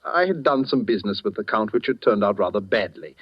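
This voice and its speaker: A man's voice: on the low side, animated, brisk. Suave male crime mastermind, silkily polite menace, 1940s radio suspense, muffled vintage broadcast sound. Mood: pleased, confiding. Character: suave, courteous, cunning male master criminal posing as director.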